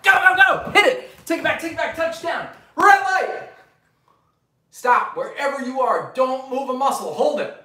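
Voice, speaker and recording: A man in his thirties coaching a live online gym class for kids from his living room, calling out energetic commands and countdowns. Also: very high-pitched, moderate pace. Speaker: fitness coach. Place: bright living room, indoors. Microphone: camera across the room. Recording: echoey room.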